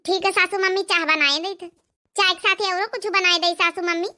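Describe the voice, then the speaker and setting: Cartoon village bahu, played by a woman, very high childlike voice, bright and piercing, chatty, Awadhi accent. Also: steady tone, brisk, heavily acted. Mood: deferential. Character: young village daughters-in-law, chatty, deferential, lightly grumbling. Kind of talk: cartoon comedy dialogue.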